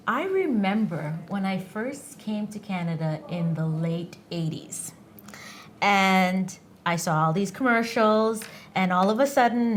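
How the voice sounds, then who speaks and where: A woman co-hosting a casual panel chat, frank and blunt with a playful edge. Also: mid-range voice. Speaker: co-host.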